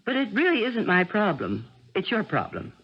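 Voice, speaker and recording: A woman playing an anxious yet resolute middle-aged mother in a radio mystery drama, with a husky, theatrical delivery. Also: medium pitch, normal speed, full character voice. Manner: expressive, theatrical. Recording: dull old radio recording.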